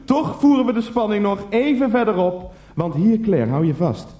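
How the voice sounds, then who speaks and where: A man's voice: high-pitched, sing-song, normal speed, mellow, roomy sound. An actor playing a pushy, gushing TV game show host in a radio play parody, theatrical and repeating himself as he goads. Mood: excited, teasing.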